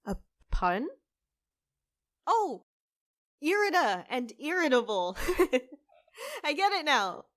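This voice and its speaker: An actress playing a kind, apologetic half-human cafe co-owner in a fiction podcast, gentle and hesitant in manner. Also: female voice, high-pitched, very animated, slow, pauses often, full character voice. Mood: amused.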